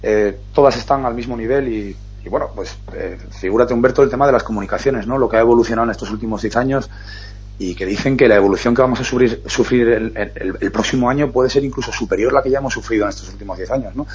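A man hosting a radio talk show, explaining at length in an earnest, fast way. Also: mid-range voice, darkish tone, slightly rough, lo-fi sound. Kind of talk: casual radio chat.

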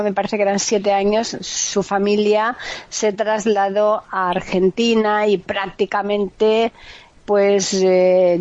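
A woman hosts a radio chat show in a playful, teasing manner. Her voice sits at an average pitch, and the radio audio sounds slightly dull. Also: darkish tone. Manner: teasing, laughs often, playful. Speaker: radio host.

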